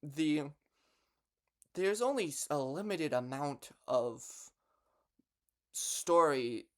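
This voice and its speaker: A young man chats informally as a vlogger, with pitch that moves around a lot as he goes. His delivery is halting and hesitant, often breaking off and starting phrases again. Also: animated. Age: young adult. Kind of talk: unscripted casual talk to camera. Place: bedroom in a home.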